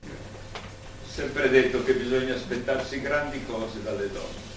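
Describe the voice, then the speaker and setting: A man's voice: medium pitch, a little slow, close to an everyday voice. Male actor playing timid remorseful doctor, formal diction, radio drama, distant from mic, background noise. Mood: hesitant. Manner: formal, apologetic speech. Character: remorseful educated doctor, a timid wartime informer. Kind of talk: live radio theatre acting. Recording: echoey hall, far from mic, noisy.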